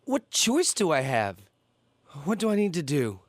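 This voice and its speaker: Higher-pitched hesitant teenage son. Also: male voice, wildly swooping pitch, unhurried. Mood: hesitant, resigned. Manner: hesitant, subdued. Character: hesitant eighteen-year-old son, quietly defiant. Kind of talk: audio drama acting.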